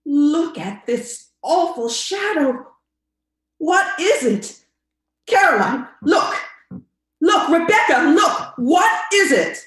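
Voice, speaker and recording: An actress plays a matronly woman from an old radio supernatural drama. Her voice is high and highly expressive, with theatrical emphasis. The sound is noticeably roomy. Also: slow pace, exaggerated voice. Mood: horrified. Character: Mrs. Brigham, placid matronly sister turning horrified.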